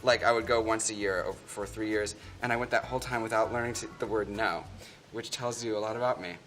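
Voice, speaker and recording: A man, a drag queen makeup artist, chatting in a campy, animated way with big pitch swings on his exclamations as he hosts a makeover. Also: quick pace, a little hiss or hum. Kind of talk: casual chat, playful. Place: makeup studio.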